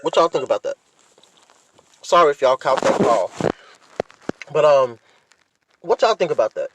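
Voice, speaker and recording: An American man talks in a slightly high-pitched voice as a podcast host on his own. He chats informally, offering opinions straight to his listeners. His wording is slangy and freely peppered with swearing. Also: expressive, moderate pace, noisy background. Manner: informal slangy talk with frequent profanity. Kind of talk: casual solo chat with opinions, addressing listeners.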